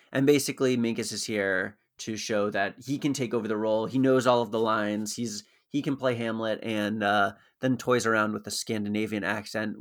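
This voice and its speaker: Man calmly summarizing as podcast co-host. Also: lowish voice. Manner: even, matter-of-fact summarizing. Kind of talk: casual recap chat.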